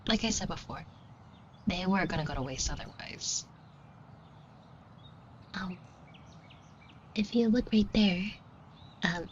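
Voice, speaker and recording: A woman's voice: on the low side, close to an everyday voice. A woman playing a shy, flustered florist who talks to the listener in a hesitant, colloquial, self-deprecating way in an audio roleplay. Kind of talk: scripted roleplay acting addressed to the listener. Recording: dull muffled audio with clear noise. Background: shop ambience sound effects.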